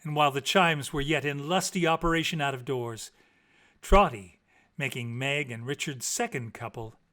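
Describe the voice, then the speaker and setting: A man reading a classic story aloud in a theatrical, expressive and deliberate literary style. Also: mid-range voice. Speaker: storybook reader.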